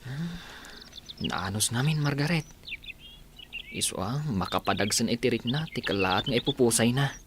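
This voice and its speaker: A man's voice: medium pitch, animated, moderate pace, long pauses, noisy background, natural voice. An actor playing an earnest, sympathetic farm man in mourning in an Ilocano radio drama, with an Ilocano accent. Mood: sympathetic, sombre.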